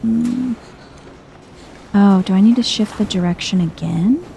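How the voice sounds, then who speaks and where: A soft-spoken woman giving chill, casual game commentary in an ASMR vibe, murmuring as if to herself. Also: mid-range voice, measured pace, gravelly, slight background noise. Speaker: gameplay streamer.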